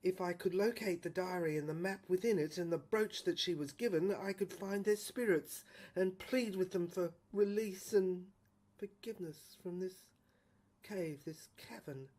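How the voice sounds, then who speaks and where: A woman voicing a haunted, transforming male scientist in an audio drama, with halting, ominous, melodramatic delivery. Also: fairly low pitch, a little mellow, close to an everyday voice. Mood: haunted, yearning. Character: tormented man scientist transforming, haunted and pleading.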